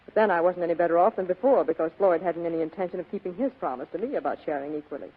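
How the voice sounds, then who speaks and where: A woman's voice: on the low side, very fast, breathy edge. A woman playing an evasive, pleading femme fatale and practiced liar in a 1940s radio drama. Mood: evasive, explaining. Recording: tinny muffled 1940s radio broadcast.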